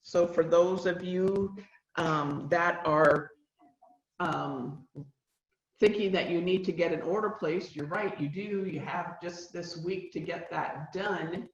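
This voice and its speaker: A woman trainer presenting a school nutrition webinar, with a loud voice that carries and many fillers. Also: lowish voice, takes it slowly. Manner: chatty, uses many fillers. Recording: roomy, dull lo-fi sound.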